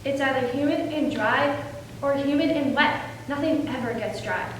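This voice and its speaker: A woman's voice: sits high, normal speed, natural voice. A woman playing a frightened, haunted young male soldier writing home from war in a readers theater piece. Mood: weary. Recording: echoey hall from far off, faint noise.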